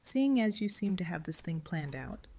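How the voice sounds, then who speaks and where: A woman playing a calm, practical community leader in a fan audio drama, measured and direct. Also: lowish voice, dry recording. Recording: noisy track with steady hum.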